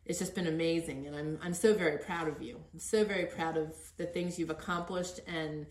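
A middle-aged woman with a notably low voice, recorded in an echoey room. She hosts a conversational interview and speaks with earnest encouragement. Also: fairly even intonation, fairly quick, darkish tone. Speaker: podcast host interviewing.

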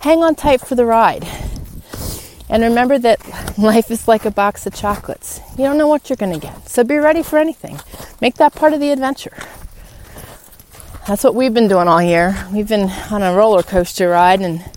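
A woman's voice: a little high, moderate pace, a little mellow. A woman hosting a solo podcast, talking informally and earnestly as she encourages her listeners. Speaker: podcast host. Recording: clearly noisy background with clicks.

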